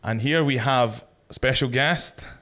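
A man's voice: mid-range voice, slow, a bit bright. A man hosting a podcast interview, talking in a loose, chatty way with fillers and restarts. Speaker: podcast host. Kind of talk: casual interview chat.

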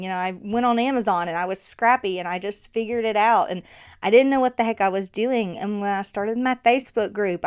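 A woman's voice: medium pitch, clear, resonant tone. Chatty Southern woman podcaster. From Alabama. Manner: chatty, laughing, lots of fillers. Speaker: podcast host. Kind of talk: casual podcast monologue. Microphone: new podcast microphone.

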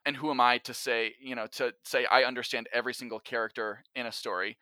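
A man's voice: mid-range voice, steady tone, fast talker, sharp tone, clear, resonant tone, no room sound. A man in a casual, reflective conversation as a podcast guest, speaking candidly and hesitantly in a self-effacing way. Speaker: podcast guest, actor and writer of audio drama.

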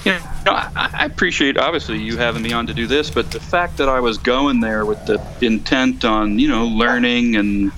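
A man with a mid-pitched voice talks as a guest calling in to a show. He rambles in a folksy, slightly hesitant way, in casual conversation. There is light noise and ambience around his voice. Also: normal speed, hardly any pauses, fairly bright tone, rough recording. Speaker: guest correspondent calling in to a motorcycle podcast. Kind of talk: casual interview chat.

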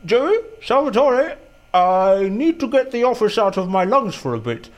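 A flustered male hostage in an audio drama. His voice sits high and swings up and down as he stammers and stalls under threat. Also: sing-song, moderate pace. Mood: strained, falsely casual. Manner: hesitant, stammering.

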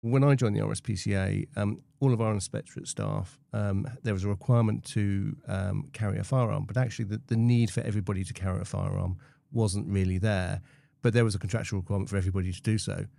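A man's voice: on the low side, fairly even intonation, fast talker, vocal fry, warm tone, dry recording. A man speaking as an interview guest, explaining things in spontaneous, unscripted talk.

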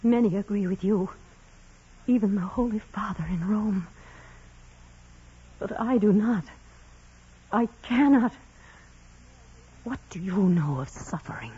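A proud, doubting nun with a very husky voice at a medium pitch. She speaks with stern, clipped intensity and bitter anguish, in 1940s radio drama style. The sound is dull, like an aged broadcast recording. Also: lively intonation, takes it slowly, pauses often, dark tone, full character voice.

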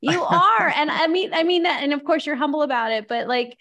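A woman hosting a podcast, chatting casually with a guest, bubbly and gushing with quick restarts. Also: high voice, a bit bright, lo-fi sound. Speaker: podcast host. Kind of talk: casual interview conversation.

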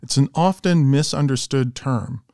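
A man's voice: mid-range voice, normal speed, dark tone, no room sound, studio quality. A man hosting a podcast interview in a polished, professional way, introducing topics and putting questions to a guest. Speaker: podcast host and investment strategist.

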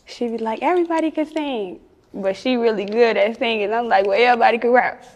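A woman with a Chicago accent chatting casually and candidly in an interview as a rapper, slangy and quick to laugh. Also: fairly high pitch, big-room sound. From Chicago, United States.

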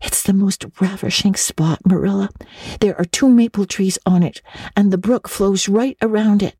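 A woman's voice: medium pitch, moderate pace, mellow, breathy edge, no room sound, studio quality. A woman voicing an earnest, romantic young girl in a dramatic storybook reading, gushing and breathless, tripping over eager repetitions. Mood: earnest, rambling. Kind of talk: dramatic audiobook reading of classic children's literature.

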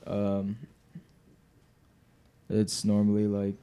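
A young man talking casually as a podcast guest in a hesitant, halting way. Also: on the low side, completely flat delivery, warm tone, clean tone. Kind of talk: casual conversation.

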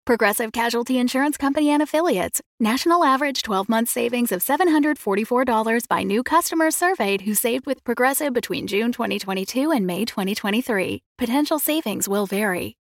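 Crisp woman reading an ad disclaimer. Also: on the high side, expressive, fairly quick, hardly any pauses, no room sound. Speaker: advertisement voice-over. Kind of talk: scripted ad with legal disclaimer.

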